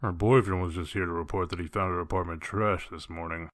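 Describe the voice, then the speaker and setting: Comic dub detective, acted by a man: low-pitched, gruff, terse, deadpan, very dry close sound. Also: a little fast, no room sound, lightly acted. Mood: grave, businesslike. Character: gruff, blunt male wolf detective, grimly matter-of-fact.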